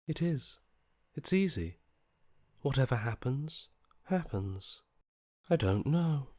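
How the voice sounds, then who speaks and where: Slow, coolly detached inner voice. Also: male voice, medium pitch, a little mellow, no echo, clean studio sound. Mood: detached, dismissive. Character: detached, morbidly curious male inner voice, coolly indifferent. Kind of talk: video game character voice acting.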